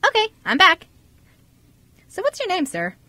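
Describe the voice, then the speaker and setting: A woman's voice: very high voice, huge pitch swings, measured pace, sharp tone, exaggerated voice. A woman playing a chatty, eager fifteen-year-old girl in an amateur radio drama, friendly and giggly.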